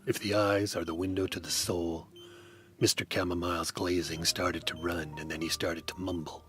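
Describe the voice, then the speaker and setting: Deep-voiced deadpan detective narrator. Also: male voice, normal speed, faint music behind. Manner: dry, deadpan, wry. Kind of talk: hard-boiled first-person narration.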